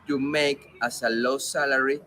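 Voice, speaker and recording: A man from Venezuela with a Venezuelan Spanish accent explains things in halting, casual English as an interview guest, repeating words for emphasis. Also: medium pitch, fairly even intonation, bright, forceful tone. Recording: clear background noise.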